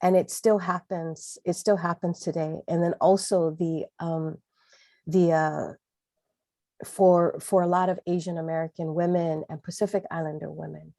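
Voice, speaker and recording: A woman's voice: fairly low pitch, flat delivery, normal speed, warm tone, dry recording, studio quality. A woman from Hawaii with a Pidgin accent, speaking earnestly as a panelist in a community discussion. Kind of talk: panel discussion over video call.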